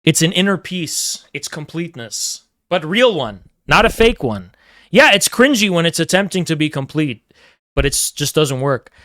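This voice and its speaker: A man's voice: a little high, big pitch swings, normal speed, a bit bright, no room sound, clean studio sound. A man live-streaming, chatting casually and teasing his chat while rambling through strong opinions.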